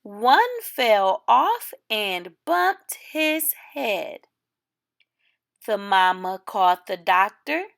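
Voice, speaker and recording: Lady reading a kids picture book, super slow n lively, bit creaky, phrasing it for little ones. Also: mid-range voice. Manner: expressive, deliberate phrasing for young listeners. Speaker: storytime reader. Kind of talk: children's picture book read aloud.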